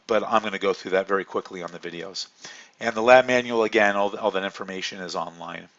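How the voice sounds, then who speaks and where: A hoarse man with a fairly low voice talks students through a course introduction in a loose, unhurried way, full of ums and uhs. The recording is dull and lo-fi, like a computer mic capturing a screen walkthrough. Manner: relaxed, rambling, many fillers. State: hoarse from a cold. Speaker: chemistry instructor.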